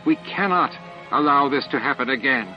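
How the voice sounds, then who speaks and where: A man's voice: mid-range voice, moderate pace, voice pushed up, close to an everyday voice. A man voicing a fierce, snappish elderly female alien scientist in an audiobook drama. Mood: brisk, urging. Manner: snappish, forceful, righteous. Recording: dull lo-fi audio with music.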